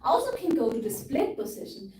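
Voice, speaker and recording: A woman's voice: high-pitched, wildly swooping pitch, a little fast, a little mellow. A young woman with a Tyrolean Austrian accent, a native German speaker, guiding a live stretching class and explaining each move as she demonstrates it, sometimes searching for English words. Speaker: stretching instructor. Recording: echoey room from far off, faint noise.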